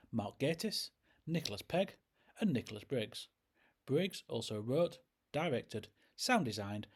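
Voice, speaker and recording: A man presents a scripted review in the role of host. His voice is a little rough and husky at an ordinary male pitch. He explains things in a measured way, point by point. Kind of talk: scripted narration, audio drama review.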